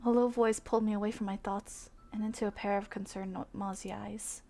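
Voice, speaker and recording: A woman livestreaming visual novels, switching between excitable gushing reactions and expressive read-aloud narration. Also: medium pitch, fairly quick, slight background noise. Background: game music.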